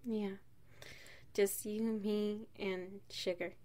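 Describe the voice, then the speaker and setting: A woman playing an affectionate, playful wife in an ASMR roleplay, speaking in a soft, intimate manner. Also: medium pitch, level tone, drawn-out words. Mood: playful, cuddly. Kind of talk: ASMR roleplay, one side of a dialogue.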